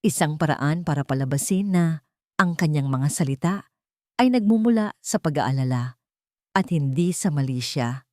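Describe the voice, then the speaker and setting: Lowish-voiced woman, very animated dramatic narrator. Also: no echo, studio quality. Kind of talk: dramatic scripted story narration.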